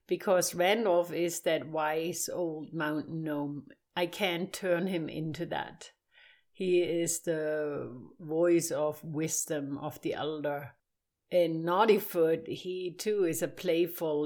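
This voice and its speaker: Older German woman, low-pitched and slow. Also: a little mellow. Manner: halting, searching for words. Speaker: storyteller, interview guest. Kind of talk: reflective interview talk.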